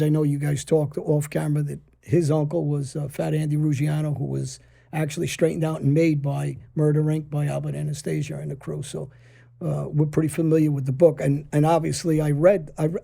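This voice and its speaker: A man's voice: fairly high pitch, creaky, warm tone. A man hosting a casual interview, rambling with many fillers. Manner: rambling, many "uh"s and "you know"s. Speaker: podcast host.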